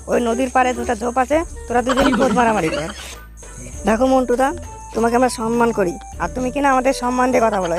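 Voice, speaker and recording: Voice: medium pitch, close to an everyday voice. A performer voicing a crude, quarrelsome young village man in a comic cartoon, brash, mocking and slangy. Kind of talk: comic cartoon dubbing, foul-mouthed banter.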